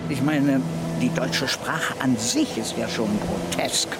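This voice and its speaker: A man's voice: mid-range voice, slow, loud music behind the voice, natural voice. An actor playing a sceptical, disdainful male comedian and film-maker in a radio drama. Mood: disdainful, amused.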